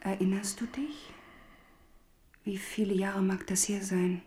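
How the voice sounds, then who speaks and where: Woman for radio drama confidante, mellow dark timbre, whispery, tender and secretive. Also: mid-range voice, steady tone, unhurried, a little hiss or hum, natural voice. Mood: hushed, wistful. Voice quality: breathy whisper. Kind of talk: German radio drama acting.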